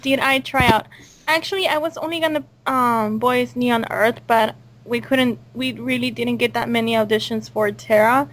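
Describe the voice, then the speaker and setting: A woman's voice: a little high, fairly even intonation, moderate pace, a bit bright, low-quality recording. A woman hosting a casual group interview with fellow voice actors, reading out questions and chatting. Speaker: interview host and voice cast member.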